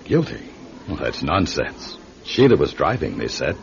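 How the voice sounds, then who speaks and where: A man's voice: a bass voice, big pitch swings, a little slow, gravelly, exaggerated voice. An actor playing a fatherly older doctor in a radio drama, soothing, firm and reassuring. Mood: firm, consoling. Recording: muffled radio recording with faint hiss.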